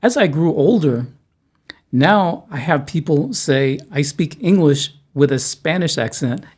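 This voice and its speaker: A middle-aged man from South Texas, hosting a casual conversation, halting and repeating words, with a joking manner. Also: on the high side, expressive, darkish tone, breathy edge. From South Texas, USA. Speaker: host.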